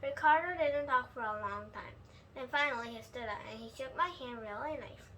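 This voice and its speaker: A woman reading a children's book aloud in an expressive storytelling style, with a few slips. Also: on the high side, fairly quick. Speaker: storybook reader. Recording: clear background noise.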